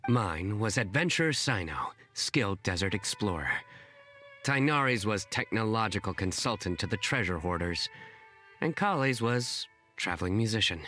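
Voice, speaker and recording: A stern, deep-voiced official. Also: male voice, animated, normal speed, pushed and bright, faint music behind. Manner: dry, formal and clipped. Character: stern young male desert official. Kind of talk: game cutscene voice acting.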